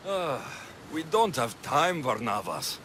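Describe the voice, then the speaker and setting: Terse, expressive young mercenary. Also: male voice, mid-range voice, slow, slight background noise. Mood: dry. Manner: terse, dry. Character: determined, dry-witted Greek mercenary warrior, young man. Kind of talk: video game cutscene acting.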